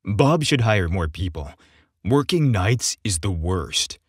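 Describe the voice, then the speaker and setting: A man's voice: low-pitched, big pitch swings, slow, slightly rough, no room sound, studio quality, voice pushed down, exaggerated voice. A man voicing a grumbling, playful young male gym trainer in story dialogue, griping with mock exasperation.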